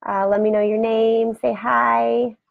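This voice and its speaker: A woman hosting a casual live video chat from her home, rambling warmly and greeting viewers by name, her voice scratchy today. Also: a little high, flat delivery, measured pace, creaky, dry recording, narrow, tinny audio. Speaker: host. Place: home room beside a turtle aquarium. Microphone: webcam for a live video.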